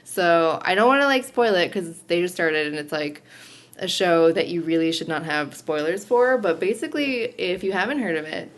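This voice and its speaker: A woman's voice: lowish voice, normal speed. A young woman co-hosting a podcast, talking casually and laughing often, rambling with fillers. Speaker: podcast co-host. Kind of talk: casual chat.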